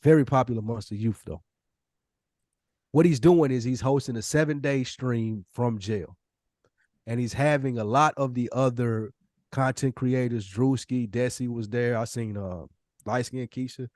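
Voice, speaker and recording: An American man of about forty hosts a podcast in an easy, slangy style. His voice sits at a medium pitch for a man, and the sound is dry, with very little room on it. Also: moderate pace, darkish tone, dry recording. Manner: slangy, conversational. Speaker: podcast host. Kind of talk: casual podcast talk.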